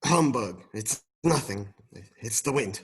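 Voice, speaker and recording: A man playing a grumpy, scornful old miser of a businessman in a radio play, with a curt, husky voice. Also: mid-range voice, lively intonation, slow pace, full character voice. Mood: dismissive.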